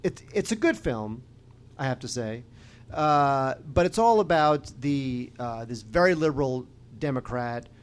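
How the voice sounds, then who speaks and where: A man's voice: mid-range voice, animated, unhurried, smooth. A man co-hosting a podcast, giving informal, opinionated film reviews in casual conversation. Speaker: podcast co-host reviewing films.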